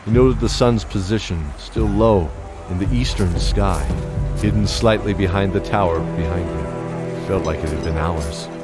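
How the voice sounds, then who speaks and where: A man's voice: deep voice, normal speed. A man narrating a scripted fantasy audio drama with dramatic storytelling. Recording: prominent music bed behind voice.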